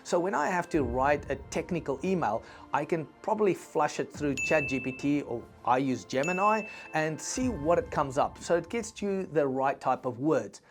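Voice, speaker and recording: A man's voice: fairly high pitch, expressive, normal speed, hardly any pauses, music in the background. A man whose first language is Afrikaans, giving a conversational instructional talk with asides to the viewer. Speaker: business presenter.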